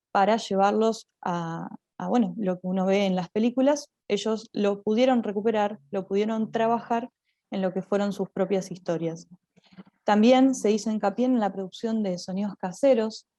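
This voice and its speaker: A woman with an Argentine accent giving a conference presentation as a drama teacher, explaining in a halting way with repeated words. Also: medium pitch, warm tone, no echo.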